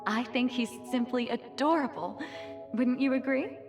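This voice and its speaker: An actress playing a sweet, cooing, slightly eerie woman with a syrupy, drawn-out delivery in a video game dub. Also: high-pitched, over music. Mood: gushing.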